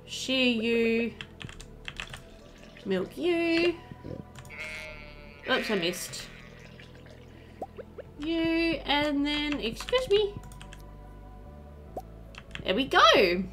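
A woman's voice: high voice, sing-song, drawn-out words, long pauses, a bit bright, soft background music. A young woman doing casual, chatty let's play commentary, thinking aloud as she plays, indoors at a computer. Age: young adult. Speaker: gaming video host.

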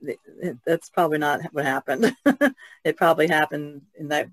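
A woman talking on a podcast in a hesitant, halting way, with fillers and small laughs. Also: low-pitched, animated, no echo. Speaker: podcast guest. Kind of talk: casual discussion.

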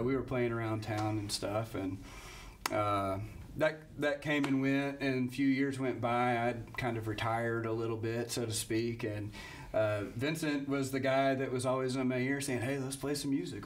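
Relaxed man chatting about his band. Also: medium pitch, a little hiss or hum. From Kentucky. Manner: relaxed, rambling with restarts. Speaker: band singer and guitarist. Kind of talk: casual interview chat. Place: small library studio room.

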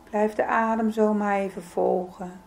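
A woman leading a guided yoga nidra meditation in a soothing, gentle, unhurried manner. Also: medium pitch, steady tone, vocal fry, a little hiss or hum. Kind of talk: guided meditation, calm spoken instruction.

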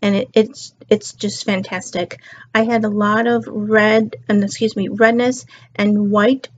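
A tired middle-aged woman with a slightly raspy voice, chatting casually on her couch into a webcam and drifting between topics. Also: medium pitch, steady tone, unhurried. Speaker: beauty and lifestyle vlogger. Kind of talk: casual chat to camera. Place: living room couch, indoors. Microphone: built-in webcam mic. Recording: dull lo-fi webcam audio.

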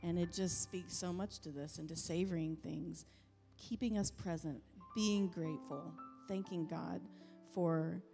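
A middle-aged woman on a church stage with a handheld mic, reading scripture in a calm, measured way during a sermon. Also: lowish voice, gravelly. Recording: long reverb of a church hall, faint music.

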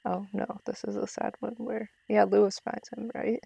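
Casual woman streamer with creaky, mellow voice. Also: mid-range voice, level tone, normal speed, a little hiss or hum. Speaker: game streamer. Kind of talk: casual live gameplay commentary.

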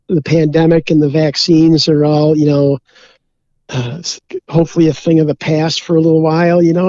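A man's voice: fairly high pitch, mellow, no echo. Easygoing rambling man, dull lo-fi sound. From Minnesota. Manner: rambling, easygoing, many "you know" fillers. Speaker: guest, beer brewer. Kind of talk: casual interview chat.

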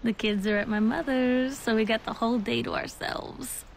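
A woman playing a gentle, affectionate wife in a video game, speaking softly and coaxingly. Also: a little high, fairly even intonation, normal speed, creaky, slight background noise, low-quality recording. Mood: tender calling. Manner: soft, tender, coaxing. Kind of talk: video game cutscene acting.

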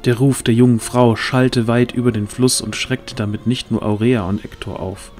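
A man's voice: medium pitch, steady tone, moderate pace, darkish tone. A man narrating a fantasy audio drama as a scripted storyteller. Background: music.

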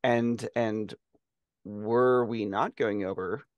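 A man chatting casually as a podcast co-host, thinking aloud in a halting way. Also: fairly low pitch, fairly even intonation, no room sound, highs cut off. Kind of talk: casual chat. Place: indoor room on a video call.

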